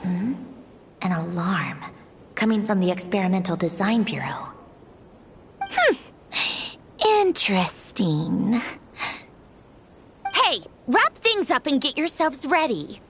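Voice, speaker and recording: A woman's voice: mid-range voice, sing-song, takes it slowly, pauses often, noisy background. An actress voicing a curious woman in command in a video game, musing and giving orders. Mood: intrigued. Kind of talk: video game character dialogue.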